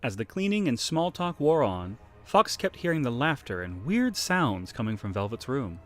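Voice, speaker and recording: A male narrator with a very animated, swooping delivery, hamming up the drama. He tosses in wry asides, and soft music runs underneath. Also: mid-range voice, moderate pace.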